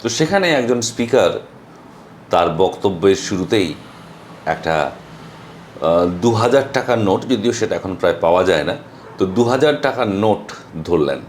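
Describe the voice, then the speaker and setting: A man with an Indian Bengali accent delivering a motivational monologue as a storyteller, earnest and emphatic, with dramatic pauses and some English phrases mixed in. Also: on the low side, expressive, a little hiss or hum. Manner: mixes English phrases into speech. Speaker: motivational vlogger.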